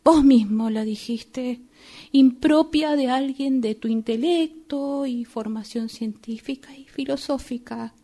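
A woman's voice: high voice, takes it slowly, mellow, slight background noise. A woman playing an anxious yet reproachful, lecturing woman in a radio play, with an Argentine accent and an insistent manner. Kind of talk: radio drama acting.

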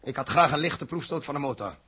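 Husky, bright-voiced spaceship captain. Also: male voice, fairly high pitch, lively intonation, fast talker, full character voice. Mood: brisk, commanding. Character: male spaceship captain, commanding, conscientious, fair-minded. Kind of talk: 1960s Dutch radio drama acting. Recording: 1960s radio drama recording.

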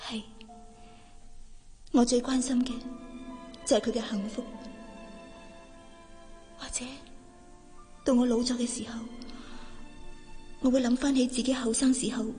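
An actress playing a gentle, resigned young woman in a Cantonese radio drama, hesitant and soft-spoken, with a Hong Kong accent. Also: on the high side, long reverb tail, natural voice. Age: young adult.

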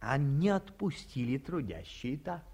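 Brief: an old small-town hotel keeper, folksy and unhurried, spinning stories and slipping into confiding whispers. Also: male voice, mid-range voice, sing-song, a little mellow, husky, full character voice. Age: older. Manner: folksy, storytelling, drops to whispers. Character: elderly male provincial hotel keeper, folksy, talkative. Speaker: provincial hotel director. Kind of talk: 1950s radio play acting.

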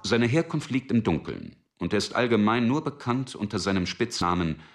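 A man's voice: lowish voice, big pitch swings, moderate pace. A man narrating a radio drama from a script.

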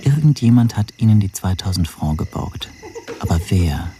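An actor narrating a radio drama as a rueful man recalling events in a measured, introspective way. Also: sits low, sing-song, dark tone, a little hiss or hum. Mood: reflective, rueful. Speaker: first-person narrator.

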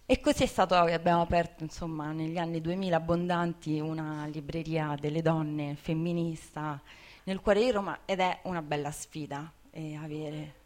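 A woman's voice: deep voice, steady tone, moderate pace, darkish tone. A woman talking informally to an audience, reflective and hesitant, repeating words as she searches for them. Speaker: bookseller, co-founder of a women's bookshop.

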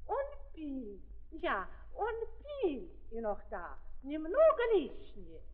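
An actress playing a fussy, proud brothel madam in a 1930s radio play, with a German accent and effusive broken Russian. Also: female voice, high voice, wildly swooping pitch, full character voice. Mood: gushing. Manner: slow, effusive, ungrammatical speech. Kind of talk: 1930s theatrical radio drama acting. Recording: muffled 1930s archive recording.

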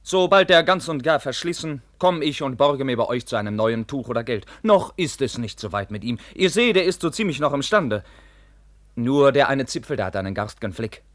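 An actor as a proud, animated young knight. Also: male voice, mid-range voice, moderate pace, no echo, natural voice. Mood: reserved, proud. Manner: crisp declamation, intense, formal. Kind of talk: 1950s German radio drama, classical stage acting.

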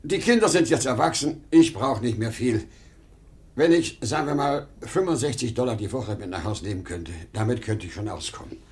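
An older man acting a proud, nostalgic, pleading travelling salesman in a 1950s German radio play, emotional and halting. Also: mid-range voice, lively intonation, a little mellow, lightly acted. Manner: emotional, halting when pleading, repeating himself.

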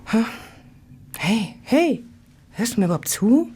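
An actress in a German radio play voicing a brash, cheeky young Victorian prostitute with slangy, impatient talk. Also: female voice, fairly high pitch, very animated, unhurried, warm tone, slight background noise. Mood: chatty, coaxing.

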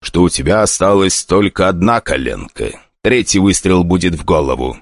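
A man voicing a cold, emotionless male demon hunter who speaks flatly like a machine. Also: low-pitched, normal speed, no room sound. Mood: cold menace. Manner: flat, machine-like, dry menace.

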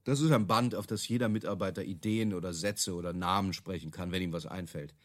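An actor playing a placid office employee who calmly explains how things work in a radio play. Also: male voice, low-pitched, brisk, natural voice. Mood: calm, explaining. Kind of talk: German radio play, conversational acting.

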